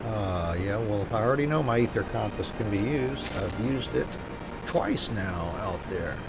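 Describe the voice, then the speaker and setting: Low, gravelly man streaming a game. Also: measured pace, over loud music, poor audio. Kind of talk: reading game dialogue aloud with casual chat. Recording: steady noise behind the voice.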